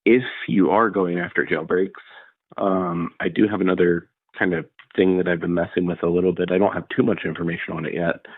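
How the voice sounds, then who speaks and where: Low-voiced man, thin phone-like sound. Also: little pitch movement, no room sound. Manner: halting, hedging, rambling delivery. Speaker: podcast host. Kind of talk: casual solo commentary on tech and security.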